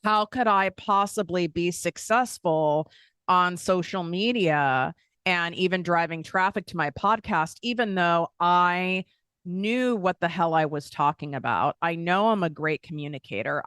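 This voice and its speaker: A woman's voice: fairly low pitch, expressive, a little slow, fairly bright tone, clear, resonant tone, no echo, studio quality. A woman hosting a motivational business podcast, talking candidly and with energy, acting out doubtful inner voices and laughing at herself. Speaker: podcast host and business coach. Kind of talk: motivational solo podcast talk.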